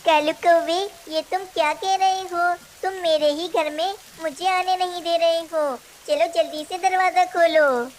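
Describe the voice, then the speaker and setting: A woman's voice: very high-pitched, steady tone, a little slow, few pauses, slight background noise. A woman voicing a small, trusting female bird in a children's cartoon, earnest, plaintive and easily upset. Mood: puzzled, cross. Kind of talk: Hindi children's cartoon dialogue.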